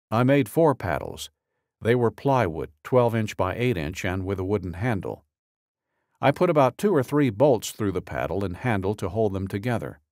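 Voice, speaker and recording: Low-voiced man narrating an audiobook. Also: darkish tone, no room sound, clean studio sound. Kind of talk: scripted narration, reading a true-crime statement.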